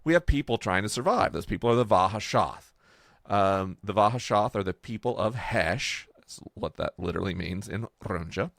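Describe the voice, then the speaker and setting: A man co-hosting a podcast, explaining casually and off the cuff in a halting, digressive way. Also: fairly low pitch, animated, gravelly, a bit bright, dry recording, studio quality. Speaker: podcast co-host and world builder.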